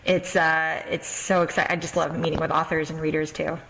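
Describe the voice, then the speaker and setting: A woman hosting a podcast in a casual, effusive interview chat, quick to exclaim. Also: sits low, level tone, gravelly, noisy background. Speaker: podcast host.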